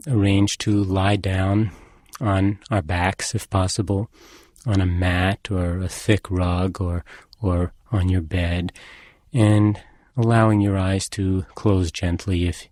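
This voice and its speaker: A man leading a guided meditation as an instructor, speaking in a calm, measured and gently instructing manner. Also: deep voice, dry recording.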